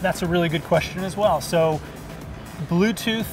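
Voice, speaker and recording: Male product rep, slightly high voice, informal explanatory delivery at a show booth with music clearly audible behind. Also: normal speed. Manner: explanatory, agreeable. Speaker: company product representative. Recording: music playing in the background.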